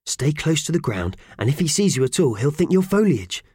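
A man puts on a little male mouse for a children's audiobook. The voice is raised and squeaky, earnest and fretful, with bouncy intonation. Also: sing-song, brisk, a little mellow, breathy edge, no room sound, studio quality. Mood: eager. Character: small eager, fretful male talking mouse.

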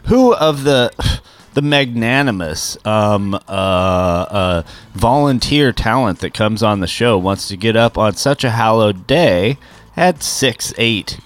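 Low-voiced male co-host, dry wisecracker, loves mock-formal asides. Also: slow. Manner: wisecracking, mock-grandiose, deadpan interjections. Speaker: podcast co-host. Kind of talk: casual comedic chat.

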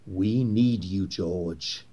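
An actor playing a zealous, persuasive Confederate conspirator in a historical drama, with a husky voice and theatrical, oratorical delivery. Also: male voice, low-pitched, extremely slow, mellow, dull audio, exaggerated voice. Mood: urgent, coaxing. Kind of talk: period historical drama acting.

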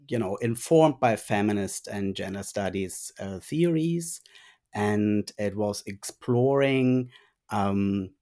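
Low-pitched German-native academic guest, reflective, pausing, trailing off softly. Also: male voice. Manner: thoughtful, frequent um pauses, trailing quieter. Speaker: guest, speech pathology lecturer and researcher. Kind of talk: interview answers.

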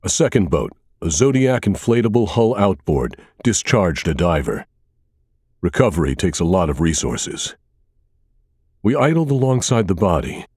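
A man reading a scripted first-person thriller as an audiobook narrator, with a measured, dramatic delivery. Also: low-pitched, big pitch swings, darkish tone, husky, no room sound, studio quality.

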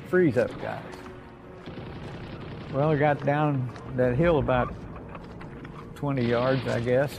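Old american vet telling his memories, creaky gravelly voice, folksy, music behind him. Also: mid-range voice. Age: 80s or older. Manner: plainspoken, folksy, repeats himself. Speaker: war veteran. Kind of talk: oral history reminiscence.

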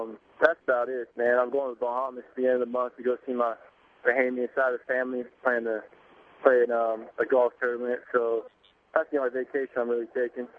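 A young man calling in to a radio interview by phone, relaxed and laid-back with many fillers. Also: mid-range voice. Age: young adult. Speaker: basketball player calling in. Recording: tinny phone line.

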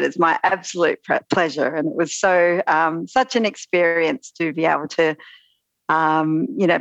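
A woman's voice: on the low side, vocal fry, fairly bright tone, dry recording, muffled recording. A woman with an Australian accent, a guest on a podcast, speaking modestly with hesitant fillers. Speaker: guest astrologer. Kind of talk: podcast interview.

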